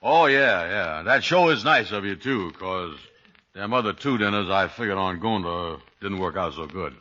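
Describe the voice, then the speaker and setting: An actor playing a slow, good-natured, unschooled man in 1940s radio comedy, with a folksy, ungrammatical drawl. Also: deep voice, expressive, pushed and bright, a little hiss or hum. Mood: cheerful. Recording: dull old radio recording.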